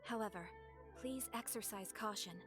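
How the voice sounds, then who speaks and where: A woman voicing a composed, courteous official in an anime video game, speaking in a formal, measured way. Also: medium pitch, natural voice. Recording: music playing behind the voice.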